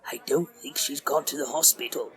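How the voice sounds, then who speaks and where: A gravelly old man, full of vocal fry and fairly high for a man. He is earnest, suspicious and stubborn, in an audio drama style. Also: fairly even intonation, fairly quick, a bit bright, soft background music, heavily acted. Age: older. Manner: earnest, determined. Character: stubborn, suspicious elderly man care-home resident.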